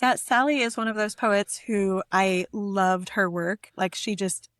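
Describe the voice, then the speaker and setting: A woman's voice: medium pitch, measured pace, a bit bright, no echo. A woman with a mid-range voice speaks as a poet on a literary program. She is recorded dry, with hardly any room around her. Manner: effusive, conversational. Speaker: guest poet and editor. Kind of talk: casual literary conversation with poem reading.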